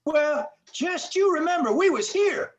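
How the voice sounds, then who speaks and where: Very high-voiced gangster sidekick. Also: female voice, lively intonation, a little slow, muffled recording. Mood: threatening. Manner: tough-guy slang. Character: dim, threatening gangster sidekick. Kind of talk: campy 1940s radio noir acting.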